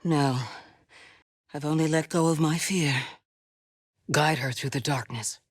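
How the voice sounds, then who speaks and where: Wise, resolute female Jedi mentor for a sci-fi game: low, measured, recorded very dry. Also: breathy edge, no room sound. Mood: composed. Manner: measured, deliberate, earnest delivery. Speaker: video game voice actress. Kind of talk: cinematic game dialogue acting.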